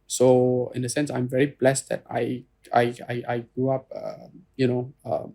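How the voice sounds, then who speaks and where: A man's voice: medium pitch, completely flat delivery, moderate pace, gravelly, warm tone. A man with a Singaporean English accent, answering interview questions earnestly, restarting phrases and often saying "you know". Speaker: guest lawyer.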